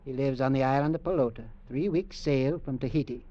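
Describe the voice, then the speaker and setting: An actor playing a cold, domineering, scheming uncle with a curt, stern manner in mid-century radio drama style. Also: male voice, medium pitch, brisk, dark tone, natural voice. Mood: cool, calculating. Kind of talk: 1940s-50s radio drama acting. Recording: muffled old radio recording.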